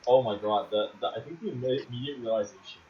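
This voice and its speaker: A man's voice: medium pitch, quick pace, highs cut off, background noise. A man hosting a podcast, chatting casually with lots of fillers. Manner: easygoing, filler-heavy, laughs along. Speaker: podcast host. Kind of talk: casual chat.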